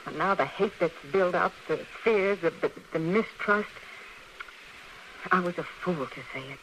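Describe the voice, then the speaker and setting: An actress playing an anxious, guilt-ridden frontier woman in an old radio western, halting and repeating words. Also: fairly low pitch, lightly acted. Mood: regretful. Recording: faintly noisy vintage radio recording.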